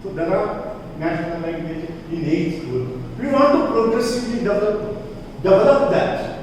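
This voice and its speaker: A man, lecturing emphatically as a law professor, rising in pitch on key points. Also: animated, moderate pace, a little mellow. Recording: echoey hall, voice from far off, noisy.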